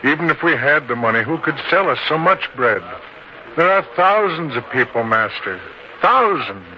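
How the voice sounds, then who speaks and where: A man's voice: on the high side, lively intonation, moderate pace, natural voice. A man playing a troubled, deferential leader among disciples, grave and declamatory, in an old-time radio drama. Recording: dull lo-fi radio sound, faint noise.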